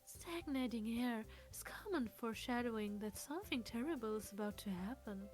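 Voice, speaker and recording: A woman voicing a proper, gloomy, bookish teenage girl in a visual novel, soft and breathy with formal, hesitant phrasing. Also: fairly high pitch, expressive, normal speed, faint music behind, lightly acted. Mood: grave, reserved. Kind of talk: visual novel character dub.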